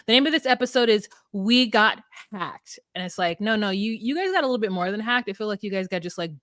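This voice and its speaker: A woman's voice: medium pitch, fairly bright tone, no echo, studio quality. A woman in her thirties hosting a podcast, chatting casually with rambling asides and frequent laughter. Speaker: podcast host. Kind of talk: casual chat.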